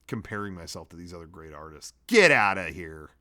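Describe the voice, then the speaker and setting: A man's voice: low voice, animated, a little fast, bright, forceful tone. A man hosting a comedy web show, reading out his own drafts and adding blunt, sweary, casual commentary.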